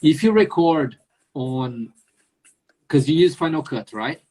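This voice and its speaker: A man hosting a casual live-stream interview, explaining things in a halting way with many restarts and jokes. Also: on the high side, warm tone. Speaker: host.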